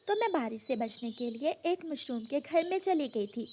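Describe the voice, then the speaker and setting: A gentle cartoon mother bird, voiced by a woman, protective and caring. Her voice is high and lively, with faint noise behind it. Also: normal speed. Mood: warm, approving. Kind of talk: children's cartoon voice acting.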